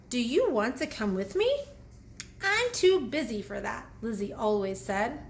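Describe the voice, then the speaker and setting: A woman reads a picture book to young children in a fairly high, lively voice. She takes her time, often turning to her listeners with questions and small teaching comments. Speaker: children's read-aloud host and teacher. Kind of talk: picture book read aloud with phonics asides.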